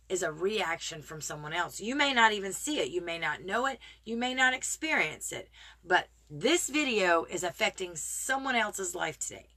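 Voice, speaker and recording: A woman talking earnestly and encouragingly to viewers in a casual live video, greeting them by name, her voice a little raspy today. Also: medium pitch, bright, forceful tone. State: slightly raspy voice. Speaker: motivational live streamer. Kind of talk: casual motivational talk to viewers.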